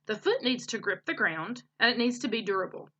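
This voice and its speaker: Female reader as storybook beaver craftsman, confident, folksy, encouraging, animated, high-set voice. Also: a little fast, highs cut off. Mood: musing. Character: gruff-but-kindly male beaver craftsman, confident, musing.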